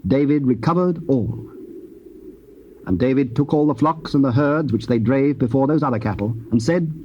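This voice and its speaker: A man narrating scripture in a solemn, measured and formal manner. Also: medium pitch. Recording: dull, hissy old cassette tape.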